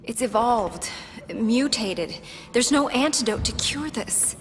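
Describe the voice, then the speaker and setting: A woman's voice: on the high side, expressive, slow, big-room sound, a little hiss or hum. An actress playing a serious, determined young female scientist in a video game, earnest and firm. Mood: grave. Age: young adult. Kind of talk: video game cutscene acting.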